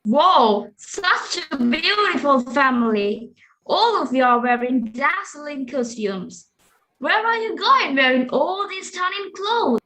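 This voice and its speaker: A woman's voice: high-pitched, lively intonation, slow, sharp tone, low-quality recording. A woman acting in a small class play, eager and full of exclamations. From Sri Lanka. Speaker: student in a skit.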